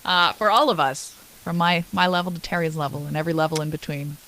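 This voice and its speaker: A woman co-hosting a call-in radio show, brisk and reading out listener texts. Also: low-pitched, fairly even intonation, a bit bright. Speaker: radio co-host.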